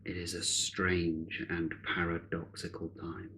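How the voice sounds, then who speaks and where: A man guiding a meditation in a measured, solemn, soothing manner, with long deliberate pauses between short phrases. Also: low voice, completely flat delivery, vocal fry, pushed and bright, a little hiss or hum, poor audio. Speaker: meditation guide. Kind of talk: guided meditation, spiritual narration.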